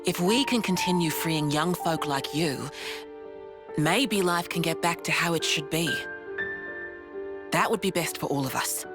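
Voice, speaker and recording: Woman acting as tough young female warrior, low pitch, plain-spoken, resolute, video game cutscene, faint music. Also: measured pace, long pauses, close to an everyday voice. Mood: frank, resolute. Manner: plain-spoken, colloquial, explanatory.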